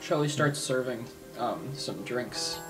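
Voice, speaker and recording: Slow-paced woman playing a tabletop game. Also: extremely low pitch, over loud music. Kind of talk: casual improvised roleplay talk.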